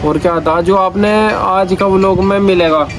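A man's voice: high voice, fairly even intonation, measured pace. A young man chatting casually and repetitively for a vlog on a phone in a noisy outdoor spot. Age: young adult. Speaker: vlogger. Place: open-sided farm shed and street shopfront. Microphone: handheld phone.

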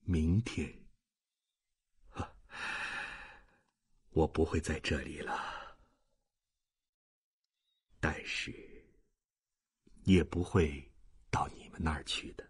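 Very deep, frail old man. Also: steady tone, full character voice. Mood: resigned. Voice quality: weak, breathy, raspy. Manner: faint, halting.

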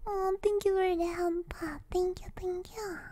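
A young woman speaking in a soft, breathy, often whispered voice, chatting hesitantly and gently as an ASMR streamer close to the microphone. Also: extremely high pitch, little pitch movement, measured pace. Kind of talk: ASMR, casual chat with viewers. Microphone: close stereo ASMR microphone.

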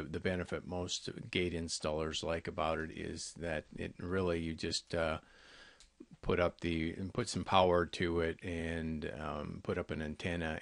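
A man giving an informal, halting product walkthrough as a company representative, explaining things in a conversational way. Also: deep voice, gravelly, no echo. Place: close, dry voice-over on a screen recording.

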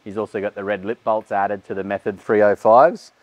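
A man's voice: lowish voice, little pitch movement, brisk, highs cut off. A man, giving a casual walkaround tour of a vehicle workshop with frequent fillers. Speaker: workshop host. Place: large vehicle workshop indoors.

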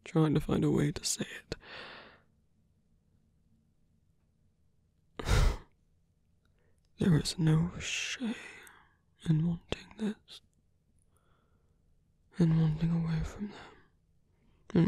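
Man playing a husky, whispering seductive villain. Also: fairly high pitch, warm tone, no echo, full character voice. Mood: teasing, coaxing. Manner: slow, whispery, teasing, deliberate. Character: seductive male villain, smug, coaxing, possessive yet courteous. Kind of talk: intimate ASMR roleplay acting.

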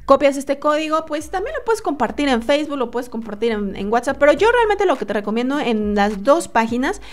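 A woman's voice: sits high, fairly quick. A woman in her thirties talking casually to a webcam, walking viewers through websites with lots of fillers and restarts. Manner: chatty, animated, many fillers and restarts. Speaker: online money-making vlogger. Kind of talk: casual screen-share tutorial. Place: indoors at a desk, webcam.

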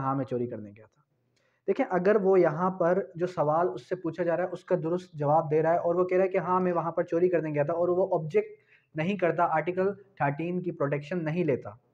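A man's voice: a little high, darkish tone. A man in his twenties with a Pakistani accent gives an explanatory law lesson, posing questions and answering them himself. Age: 20s. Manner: didactic, poses questions and answers them, repeats key points. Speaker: law lecturer. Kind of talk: explanatory lesson at a whiteboard, switching into English legal terms. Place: small indoor room with whiteboard. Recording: dull, lo-fi sound.

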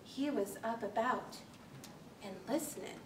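A woman in her thirties reading a rhyming children's story aloud with an expressive, rhythmic storytelling delivery. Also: a little high. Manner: animated, deliberate, rhythmic delivery of rhyming verse. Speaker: librarian reading a picture book aloud. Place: small library children's corner, indoors. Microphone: camera a few metres away. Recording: echoey room, distant mic, faint hiss.

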